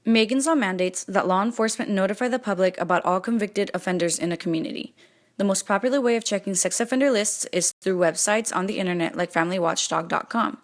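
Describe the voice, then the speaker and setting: A woman's voice: mid-range voice, fast talker. A woman presenting a scripted explainer, speaking directly to the listener.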